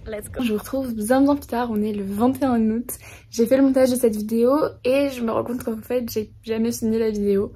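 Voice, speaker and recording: A woman's voice: on the high side, steady tone, fairly quick, warm tone. A young woman vlogging in casual chat, chatty and self-mocking, laughing at herself now and then. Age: young adult. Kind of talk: casual study-with-me vlog chat.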